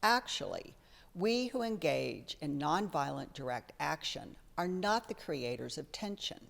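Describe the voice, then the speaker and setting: A woman's voice: low-pitched, sing-song, a little slow, creaky. A woman, a church member, reading quotations aloud in a solemn, scripted way. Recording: long hall reverb.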